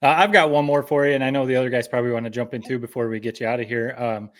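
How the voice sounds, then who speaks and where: Easygoing conversational American man, medium pitch. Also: fairly even intonation, very fast. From mid-Michigan, United States. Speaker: podcast co-host. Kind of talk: casual interview chat.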